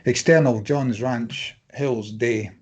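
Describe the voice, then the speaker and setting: Husky man narrating a screenplay. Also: medium pitch, takes it slowly. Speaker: stage directions narrator.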